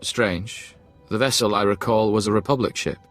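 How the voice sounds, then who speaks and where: An actor playing a cautious, deferential imperial officer in a video game, formal and obsequious. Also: male voice, fairly low pitch, fairly even intonation, moderate pace, soft background music, lightly acted. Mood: deferential, wary. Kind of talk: game voice acting.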